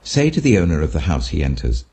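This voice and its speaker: A British man voicing a grave, authoritative male teacher in a scripture reading, speaking solemnly and deliberately. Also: rumbling low, huge pitch swings, warm tone, husky, heavily acted. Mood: calm instructing.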